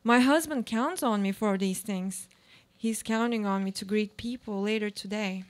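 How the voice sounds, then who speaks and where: A woman playing a weary, cynical aging housewife in a deliberate, declamatory radio play monologue. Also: mid-range voice, close to an everyday voice. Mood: exhausted. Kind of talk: live radio play monologue, read from a script.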